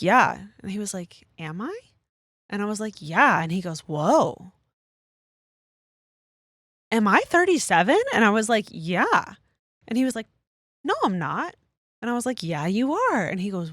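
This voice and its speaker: Podcast co-host, woman, 30s, filler-heavy storyteller, mid pitch, dry. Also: dry recording. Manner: chatty storyteller, many fillers. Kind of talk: casual chat.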